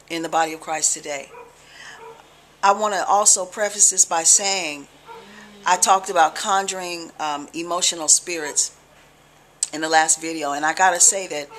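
A middle-aged American woman giving an informal religious talk to camera, conversational and deliberate, with emphatic check-ins to the listener. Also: fairly low pitch, fairly bright tone, a little hiss or hum. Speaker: religious video blogger. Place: small living room, indoors on a couch.

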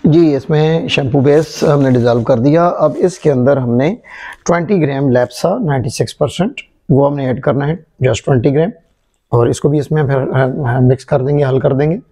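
Mellow-voiced man demonstrating a chemical formulation. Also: medium pitch. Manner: unhurried, explanatory, frequent hesitations. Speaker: chemistry channel presenter. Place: indoor workshop table.

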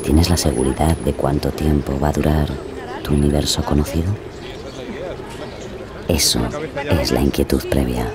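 A man's voice: extremely low pitch, steady tone, moderate pace, long pauses, a loud crowd. A man playing a brooding, teasing host of an audio crime story, speaking in suspenseful, intimate narration over sound effects. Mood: ominous, musing. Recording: loud sound effects behind the voice.

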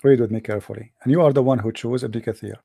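A man's voice: medium pitch, fairly even intonation, fast talker, gravelly, mellow, slightly rough, no echo, studio quality. A man from the Middle East, a native Arabic speaker, hosting a religious debate and questioning a caller sarcastically. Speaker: host.

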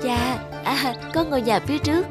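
A woman voices a young peasant daughter in an animated fairy tale. She is plucky and polite, wondering aloud in a fairly high voice. Music plays behind her. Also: moderate pace, lightly acted. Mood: puzzled.

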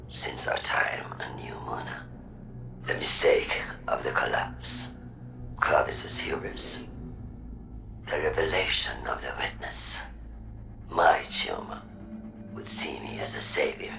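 An actress playing an obsessed, cold woman scientist dictating a personal log in a breathy, measured, self-justifying way for a game. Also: very deep voice, pushed and bright, full character voice. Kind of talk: dramatic scripted game voice acting.

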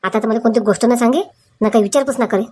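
A woman's voice: on the high side, rapid-fire, mellow, a little hiss or hum, natural voice. A woman voicing a suspicious, resentful older mother in a comic cartoon, grumbling. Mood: bitter, jealous.

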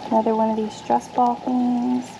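Chatty woman in her thirties, slightly gravelly. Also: fairly high pitch, completely flat delivery, normal speed, noisy background. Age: 30s. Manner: chatty, thinks aloud while sorting items. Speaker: vlogger sorting dumpster finds. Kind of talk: casual narration to camera. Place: outdoors on a backyard patio.

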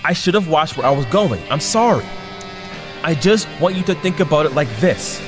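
A man's voice: a little high, lively intonation, normal speed, natural voice. Earnest young superhero man acting, long reverb. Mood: placating, pleading. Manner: hesitant. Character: earnest, awkward, conciliatory young male superhero held captive. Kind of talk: audio drama acting. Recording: long reverb over faint music.

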